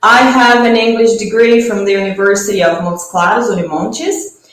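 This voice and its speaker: A woman's voice: medium pitch, steady tone, normal speed. Brazilian-accented woman, teacher, friendly encouraging tone, strongly echoing room. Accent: Brazilian Portuguese. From Minas Gerais, Brazil. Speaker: English teacher.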